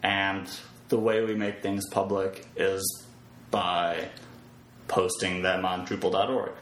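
A man chatting casually as a podcast guest, thinking aloud in a halting, jokey way. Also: sits low, level tone, fairly bright tone. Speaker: podcast guest, web developer. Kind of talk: casual interview chat. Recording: roomy echo with background noise.

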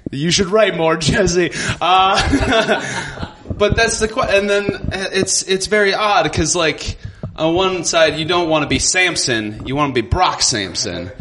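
Man, higher-pitched, stand-up comedian, halting and self-conscious, conversational, clearly noisy live stage sound. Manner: halting, self-conscious, stammering restarts, nervous chuckles.

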